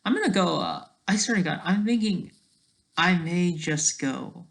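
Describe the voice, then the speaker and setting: A person thinking aloud in a casual, digressive commentary over study notes, halting often and talking in an informal, self-deprecating way. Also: medium pitch, animated, vocal fry. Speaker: solo online commentator.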